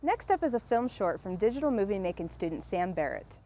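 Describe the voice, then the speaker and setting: A woman's voice: mid-range voice, very animated, a little fast. A woman hosting a show, reading a scripted link between segments. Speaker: host. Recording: very noisy recording.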